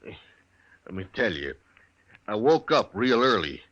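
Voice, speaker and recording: A man's voice: lowish voice, sing-song, fairly bright tone, muffled recording, full character voice. An actor playing a big, plain-spoken American mountain man, a protective husband, in a western radio drama. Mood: worried. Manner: plain-spoken, folksy, deliberate.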